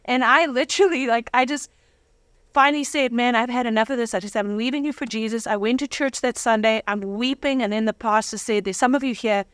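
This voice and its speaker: A woman's voice: fairly high pitch, brisk, a bit bright, smooth, no room sound. A woman telling her personal testimony as an interview guest, with dramatic, candid storytelling and slangy humour.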